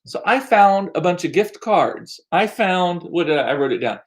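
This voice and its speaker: A middle-aged American man chatting casually as a livestream host, rambling along with self-deprecating asides and animated, repeated words for emphasis. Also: sits high, normal speed. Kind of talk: casual chat to live viewers. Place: small home room used as studio.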